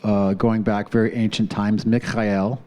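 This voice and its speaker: A man hosts a live community television show in front of a studio audience. His voice is smooth and resonant, with a relaxed, mellow tone. He sounds upbeat as he introduces segments. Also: lowish voice, measured pace. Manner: upbeat, announces crew and thanks sponsors. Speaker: show host.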